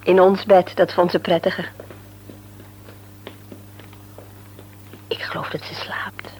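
An actress playing a worried, thoughtful mother in a Dutch radio play, speaking in a measured, explanatory way. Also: lowish voice, level tone, darkish tone, breathy edge, in a whisper. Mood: worried, subdued. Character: Els, worried mother and former nurse, thoughtful. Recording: humming, noisy radio play recording.

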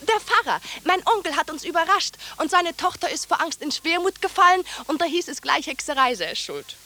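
A woman's voice: very high-pitched, lively intonation, a little fast, bright, forceful tone, exaggerated voice. An actress playing a passionate, scheming seventeen-year-old servant girl, intense and pleading, in 1950s German radio drama style. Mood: defensive. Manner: intense, pleading, swinging between murmur and outcry. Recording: 1950s radio recording, faint noise.

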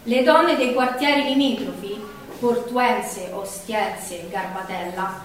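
A woman giving a theatrical, declamatory narration in short measured phrases. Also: mid-range voice, natural voice. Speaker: stage performer. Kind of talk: theatrical storytelling on the wartime Resistance. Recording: very echoey stage, distant mic, faint noise.

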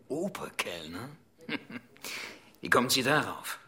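A man's voice: medium pitch, very animated, extremely slow, bright, forceful tone, exaggerated voice. An actor playing a menacing, condescending male restaurant manager in a radio play. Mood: haughty, menacing. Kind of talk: German radio play acting.

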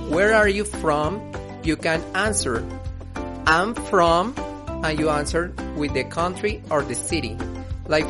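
A woman teaching English on a school radio program, speaking slowly and carefully as she repeats example phrases. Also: lowish voice. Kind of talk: slow scripted radio lesson.

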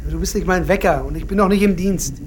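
A man's voice: high-pitched, fast talker, close to an everyday voice. A man playing a grumpy, bewildered police officer, colloquial and sarcastic, in a radio drama. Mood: irritated, sarcastic. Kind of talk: naturalistic radio drama acting. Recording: clear background noise.

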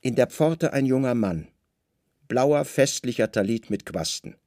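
Male narrator for literary radio drama: deliberate, dramatic phrasing, mid-pitched, gravelly texture, dry studio sound. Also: expressive, darkish tone, no echo.

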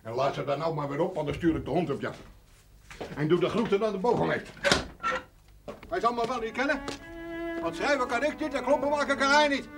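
An actress playing a brash, mocking, menacing villager with colloquial talk in a television period drama. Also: female voice, mid-range voice, animated, fairly quick, long pauses, soft background music, close to an everyday voice. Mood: taunting, threatening. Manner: taunting, colloquial.

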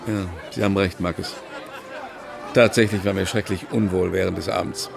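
A man acting a subdued, haunted writer in a radio drama, speaking in a halting, reflective way. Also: sits low, fairly even intonation, vocal fry, a crowd in the background. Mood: uneasy reminiscence. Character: subdued, haunted older male writer recalling a secret love. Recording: studio radio drama with music underneath. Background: music.

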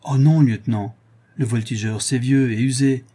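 A man's voice: medium pitch, steady tone, moderate pace, dark tone, slightly rough, close to an everyday voice. A man voicing an eager, plain-spoken sailor who tells a yarn in colloquial, exclamatory storybook style. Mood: eager, insistent. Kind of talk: acted audiobook character dialogue.

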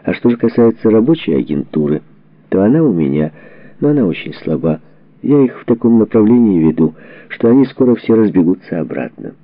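Guy narrating a history audiobook, lowish voice, formal and measured, kinda muffled lo-fi sound. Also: big pitch swings, dry recording. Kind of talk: scripted narration of a historical book, reading letters and documents.

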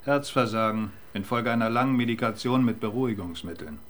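A man's voice: fairly low pitch, fairly quick, a bit bright, a little hiss or hum, natural voice. A man playing a genial, slightly sheepish pathologist with a wry manner in a crime radio play. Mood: matter-of-fact, sheepish. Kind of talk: German crime radio drama acting.

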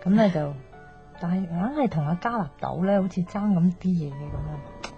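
A woman's voice: lowish voice, normal speed, natural voice. An actress playing an outspoken, teasing young woman who gives love advice, chatty and sighing, with a Hong Kong Cantonese accent in a radio drama. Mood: chatty, rueful. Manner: chatty, blunt, sighing, mock-exasperated. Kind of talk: naturalistic radio drama acting. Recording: slightly dull, music underneath.

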